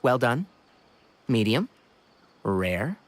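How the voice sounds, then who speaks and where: A man voicing a sensible young forest ranger in a video game, measured and practical with a dry, teasing wit. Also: medium pitch, sing-song, no echo. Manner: measured, practical, dryly wry. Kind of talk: video game character acting. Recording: background music behind the voice.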